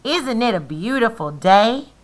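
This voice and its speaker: A woman's voice: a little high, very animated, a little slow, pitched above the performer's own voice. A woman voicing a cheerful, friendly female tumbleweed in a storybook, calling out breezily.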